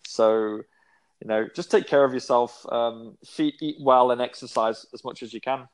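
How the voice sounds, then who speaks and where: A man in his twenties chats as an interview guest. His pitch sits in an ordinary middle range. He rambles, with lots of ums, uhs and restarts. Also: normal speed. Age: 20s. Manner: rambling, many fillers and restarts. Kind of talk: casual interview chat.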